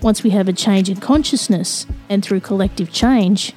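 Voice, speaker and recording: Australian woman podcast host, mellow and warm, earnest measured read, soft music behind. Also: mid-range voice. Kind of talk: scripted podcast intro and interview questions.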